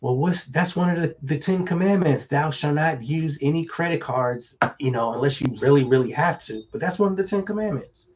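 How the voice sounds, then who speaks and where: A man, slightly hoarse, giving casual real estate advice in a relaxed, conversational way with many fillers. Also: on the high side, a little fast, hardly any pauses, highs cut off. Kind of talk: casual live-stream advice talk.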